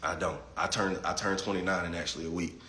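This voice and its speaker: A man's voice: sits low, steady tone, fast talker, bright, forceful tone, reverberant, a little hiss or hum. A man in his late twenties talking bluntly and profanely on a casual livestream. He reads chat comments and often repeats words as he starts a thought. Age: 20s. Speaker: livestream host reading chat.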